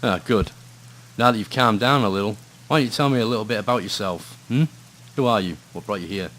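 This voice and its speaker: Low-voiced, weary Scottish private eye, played by a man. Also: a little fast, fairly bright tone, lightly acted. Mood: reluctant, gentle. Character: weary, dry male private investigator in noir thriller. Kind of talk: noir radio drama, dialogue and brooding first-person narration.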